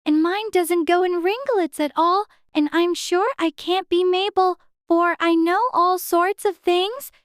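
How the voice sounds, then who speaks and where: Very high-voiced anxious little girl. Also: steady tone, unhurried, hardly any pauses, no echo, clean studio sound. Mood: puzzled, fretful. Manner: fretful, talking to herself in prim childish exclamations. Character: anxious, chatty little girl in a fantasy storybook. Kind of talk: dramatized audiobook character acting.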